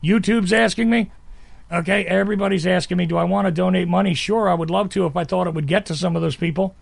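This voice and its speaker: Gravelly, emphatic male podcast host. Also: high-pitched, fairly even intonation, quick pace, no echo. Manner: rambling, emphatic, self-interrupting. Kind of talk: conversational interview.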